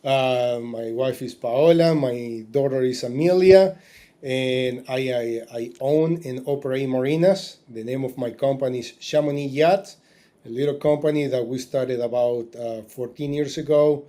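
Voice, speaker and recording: Man in his forties, warm dark tone, halting interview guest talk. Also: medium pitch. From Argentina. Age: 40s. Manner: halting, with many fillers and restarts. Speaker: podcast guest.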